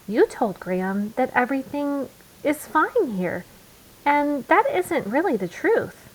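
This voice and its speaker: A woman's voice: high-pitched, sing-song, slow pace, pitched above the performer's own voice, heavily acted, low-quality recording. A woman voicing an eager, earnest little girl bear cub in a children's storybook. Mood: protesting.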